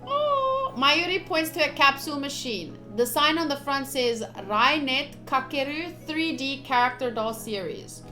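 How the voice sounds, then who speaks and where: A young woman streaming a visual novel, reading the text aloud with casual, gushy, expressive commentary. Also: sits high, a little slow, hardly any pauses, poor audio. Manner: expressive, gushy, slips into cute exclamations. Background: soft game music.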